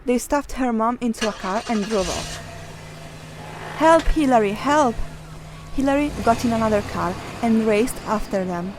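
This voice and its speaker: Higher-voiced woman storyteller, some background noise. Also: moderate pace, hardly any pauses. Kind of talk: scripted children's story narration.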